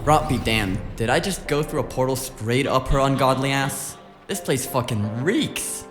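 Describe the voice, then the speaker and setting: A man plays a brash, teasing techie sidekick in a noir audio drama. His medium-pitched voice is casual and snarky, quick to swear and needle. Faint music sits behind the banter. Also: expressive, close to an everyday voice. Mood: exasperated. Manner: snarky, colloquial. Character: brash, foul-mouthed, teasing male tech sidekick.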